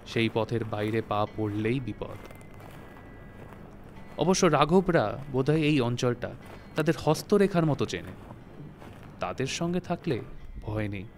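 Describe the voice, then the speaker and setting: A man narrates a literary tale in a studio. His pitch is medium and his phrasing is measured and deliberate. Soft music plays behind him. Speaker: story narrator. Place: recording studio.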